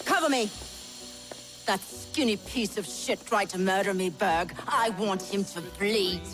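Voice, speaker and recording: An actress playing a fierce, vengeful combat agent in a video game. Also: female voice, mid-range voice, a little slow, over music, close to an everyday voice. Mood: angry, shouting. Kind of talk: video game cutscene acting.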